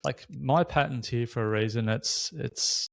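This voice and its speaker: Man, average pitch, dry sound, candid, informal, reflective podcast host, short phrases. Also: unhurried, gravelly, no room sound. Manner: candid, casual swearing, thoughtful phrasing in short bursts. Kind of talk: unscripted reflective conversation.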